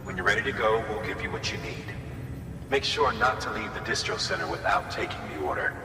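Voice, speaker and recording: An actor playing a composed game commander who briefs in a measured, authoritative and encouraging way. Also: male voice, fairly low pitch, animated, noisy background, lightly acted. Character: composed male commander briefing his courier with steady authority. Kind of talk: dramatic game cutscene dialogue, mission briefing. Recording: echoey hall, far off, music behind.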